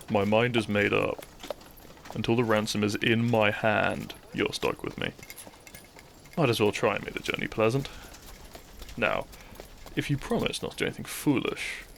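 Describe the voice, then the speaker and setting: A man playing a bitter, brooding thief in a scripted audio roleplay, speaking straight to the listener with mocking politeness and dramatic pauses. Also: lowish voice, creaky, a little hiss or hum. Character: bitter young male thief, kidnapper, once a noble's childhood friend.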